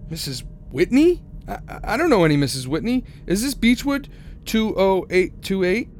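A man's voice: high voice, very animated, normal speed, a little mellow. An actor playing a frightened, haunted man on a road trip who stammers anxiously as he tells his story in a radio drama. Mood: shaken, distraught. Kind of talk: radio drama first-person narration and acting. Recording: noisy radio drama recording, vehicle ambience.

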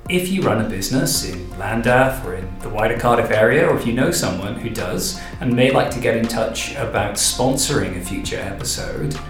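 A man's voice: medium pitch, lively intonation, moderate pace, few pauses. A man hosting a podcast, chatting and interviewing in a conversational style. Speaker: podcast host and director. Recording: roomy echo, faint music.

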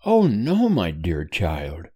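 A cheerful, tender mother comforting her child in a storybook reading, voiced by a man. She speaks softly and soothingly, her voice lifting in a lilting way. The sound is dry and close. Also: mid-range voice, huge pitch swings, slow, no echo, muffled recording, pitched above the performer's own voice, heavily acted. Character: gentle cheerful mother consoling her son. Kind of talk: audiobook character reading.

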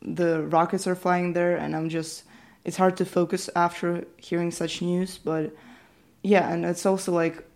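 A woman's voice: lowish voice, flat delivery, a little slow, darkish tone. A seventeen-year-old woman from Ukraine, a native Ukrainian speaker, answering interview questions in a hesitant way with many fillers and restarts. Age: teens. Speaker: student interviewee.

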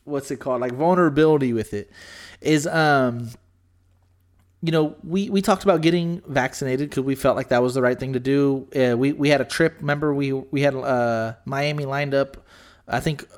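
A man's voice: medium pitch, normal speed. A man co-hosting a casual podcast chat, congested with a scratchy throat, rambling with lots of restarts and casual swearing. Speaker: podcast co-host.

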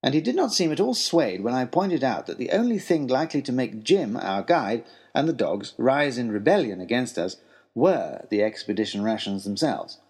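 Guy narrating an adventure diary, wry n formal, really lively pitch, slightly husky. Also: normal speed. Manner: expressive, wry, formal storytelling. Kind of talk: scripted first-person story narration.

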